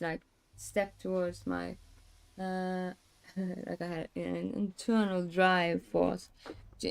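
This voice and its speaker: Hoarse young woman, gravelly, low and warm voice, rambling casual chat. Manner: rambling, many fillers and restarts, swears casually. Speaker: streamer.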